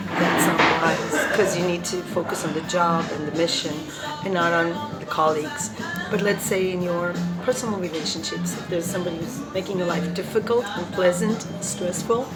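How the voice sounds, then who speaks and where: A woman with a loud voice reading and talking casually about recovery, laughing between points. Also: on the low side. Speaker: recovery vlogger. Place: coffee shop. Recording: loud music in a coffee shop.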